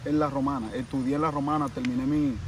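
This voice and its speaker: A man's voice: mid-range voice, flat delivery, fast, distant mic, a little hiss or hum. A Dominican man with a Dominican Spanish accent, speaking earnestly in a casual interview and often repeating himself. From Dominican Republic. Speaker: interview guest, vodou practitioner.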